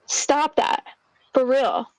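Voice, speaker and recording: Woman, fairly high voice, dry close sound; blunt, outspoken chat participant. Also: sing-song, slow pace, a little mellow, no echo, low-quality recording. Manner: outspoken, calls people out. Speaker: livestream co-host. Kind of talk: casual group livestream chat.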